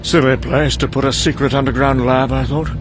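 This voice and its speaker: A man's voice: mid-range voice, fairly even intonation, a little fast, music in the background, close to an everyday voice. A man playing a cynical scientist, delivering a dry, weary, sardonic dramatic monologue for a motion comic. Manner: dry, sardonic, weary musing with trailing asides. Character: cynical, sardonic British male scientist briefing an American colleague.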